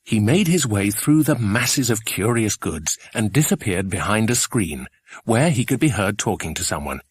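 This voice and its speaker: A man narrating a children's adventure audiobook in a scripted storytelling style, with a husky voice. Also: lowish voice, very animated, normal speed, no echo.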